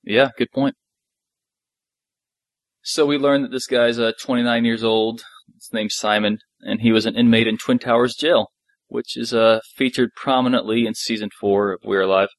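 A man co-hosting a fan podcast, recapping an episode in casual, loose and halting talk. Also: mid-range voice, fairly even intonation, dry recording.

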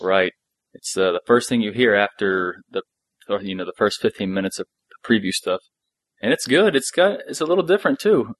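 A man with a fairly low voice, recorded dry with little room sound. He talks as a podcast co-host going through a story recap, in a casual, somewhat halting way. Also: lively intonation, a bit bright, no room sound. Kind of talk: casual episode recap discussion.

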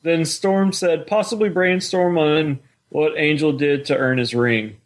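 A man's voice: on the high side, fairly even intonation, a little slow. Easygoing man co-hosting a podcast. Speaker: podcast co-host. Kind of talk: casual podcast chat.

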